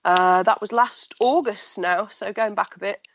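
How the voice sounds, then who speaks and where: A woman's voice: mid-range voice, a little slow, dull audio, slight background noise. A woman guest with a blocked, nasal voice, chatting casually in an online radio interview.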